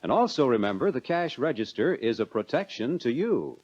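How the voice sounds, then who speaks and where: An American man narrating a 1940s instructional film in a measured, instructive manner, addressing the viewer directly. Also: medium pitch, big pitch swings, a little mellow, slightly rough. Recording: dull lo-fi 1940s film soundtrack.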